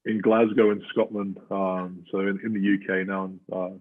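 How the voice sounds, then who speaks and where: A thirty-year-old Australian man, a rugby player, talking as an interview guest in a halting way with plenty of fillers. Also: low voice, flat delivery. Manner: halting, filler-heavy storytelling. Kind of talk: casual interview chat. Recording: tinny phone-like line.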